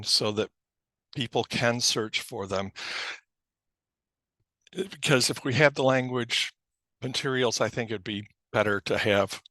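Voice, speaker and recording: A man presenting to a committee in an online meeting, talking haltingly with many ums and apologies, recorded through a computer mic on a video call. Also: on the low side, bright, forceful tone, no room sound. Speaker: cataloging librarian presenting to a committee.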